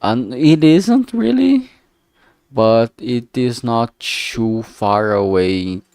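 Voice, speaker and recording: A man streaming as he works, thinking aloud casually in a halting way and muttering to himself. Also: medium pitch, expressive, a little mellow, no echo. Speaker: streamer making a visual novel. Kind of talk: casual think-aloud art livestream commentary.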